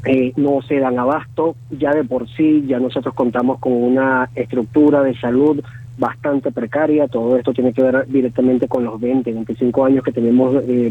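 A man's voice: medium pitch, little pitch movement, slightly rough. Venezuelan man on a tinny phone line. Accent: Venezuelan Spanish. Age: 30s. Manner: halting, many fillers and restarts. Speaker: phone-in guest. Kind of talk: phone interview.

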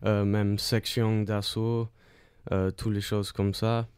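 A man from Australia chatting casually as an interview guest in a studio, speaking halting French and searching for words. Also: on the low side, little pitch movement, a little mellow, smooth, no room sound. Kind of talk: casual chat.

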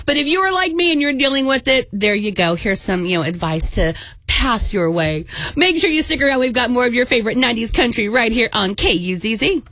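A woman radio DJ with a scratchy, hoarse voice from a head cold, chatting casually and laughing often. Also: fairly high pitch, lively intonation, a little fast, a bit bright, dry recording, soft background music. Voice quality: scratchy, raspy. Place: radio studio. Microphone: studio mic.

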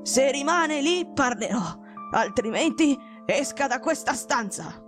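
A woman voicing a gravely ill, imperious male detective in an audiobook, weak, hoarse and halting. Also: fairly high pitch, animated, faint music behind. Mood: commanding. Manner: curt, commanding, halting.

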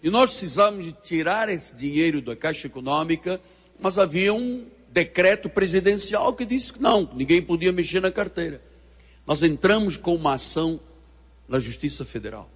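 A man's voice: a little high, lively intonation, moderate pace, breathy edge, long reverb tail. A man with a Portuguese accent, preaching a fervent sermon and telling a personal story. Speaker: pastor.

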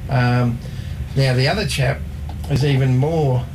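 A man's voice: medium pitch, steady tone, unhurried, background noise. A man sharing football trivia in a casual sports chat, hesitant, with many ums as he reads from his notes. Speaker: co-host presenting football trivia.

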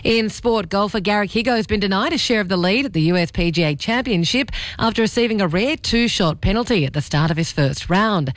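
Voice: mid-range voice, no echo. Aussie newsreader, reading headlines, dead dry studio sound. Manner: crisp, even delivery. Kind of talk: radio news bulletin.

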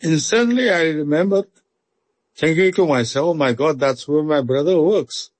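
A man with an Indian accent, slowly and reflectively recalling a loss for a news feature. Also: on the high side, dry recording. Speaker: interviewee. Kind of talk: recorded remembrance.

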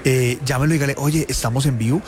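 A man's voice: mid-range voice, steady tone, quick pace, crowd noise. A man with a Colombian accent presenting a live morning show as its host.

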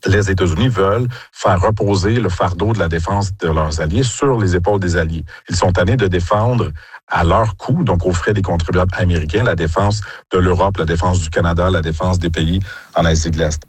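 A man's voice: low-pitched, fairly quick, slightly rough, no room sound. A man giving expert commentary in an interview. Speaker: military affairs expert, academic.

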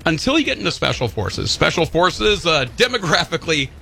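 A man with a bright, forceful voice hosts a casual trivia show. His pitch swings widely as he jokes in a wry, animated way. A faint noise sits in the background. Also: fairly quick, creaky. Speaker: show host.

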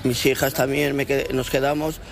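A man's voice: medium pitch, flat delivery, moderate pace, gravelly, crowd noise. An older man earnestly defending his neighbourhood in a street interview. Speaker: local resident.

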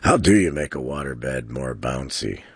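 A man hosting a live call-in radio show from a studio, trading dad jokes and talking faith and prophecy in a relaxed, jokey way. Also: a bass voice, normal speed, husky, dry recording. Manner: jokey, chuckles at his own dad jokes. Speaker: radio talk show host. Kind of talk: casual radio banter and sermon-like talk.

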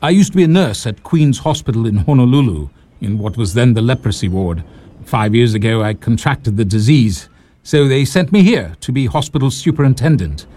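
A man's voice: mid-range voice, lively intonation, normal speed, mellow, no room sound, lightly acted. An actor playing a wry English male nurse with a London accent, who now serves as hospital superintendent in a leper colony in an audio drama.

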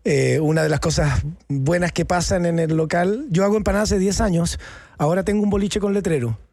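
A man's voice: sits high, a little fast, a little mellow, no room sound. A middle-aged Chilean man with a Chilean accent, talking frankly and with a lot of slang as a guest in a casual studio interview. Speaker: actor and guest.

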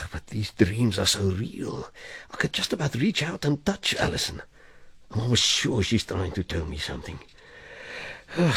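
A man acting in an audio drama as a weary, grieving former policeman, brooding and hesitant, with a husky, gravelly voice. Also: fairly low pitch, fairly bright tone, no room sound, exaggerated voice. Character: grieving, weary male ex-policeman, confiding and troubled.

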